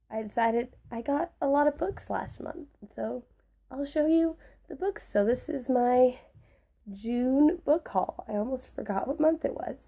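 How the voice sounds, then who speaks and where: A young woman with a hoarse voice from a cold, chatting casually and rambling through a book haul video. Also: sits high, mellow. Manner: rambling, many restarts and fillers. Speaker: book vlogger.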